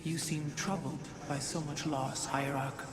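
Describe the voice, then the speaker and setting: An actor playing a solemn, haunted, devout alien warrior in a science fiction game, speaking formally and gravely. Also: medium pitch, flat delivery, a little slow, lightly acted. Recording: echoing, with cinematic score behind.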